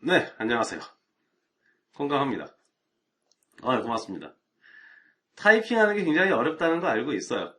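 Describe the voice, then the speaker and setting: A man's voice: medium pitch, a little fast, pauses often. Casual middle-aged man chatting on a live stream. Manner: relaxed, chatty, rhetorical asides. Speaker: IT networking instructor.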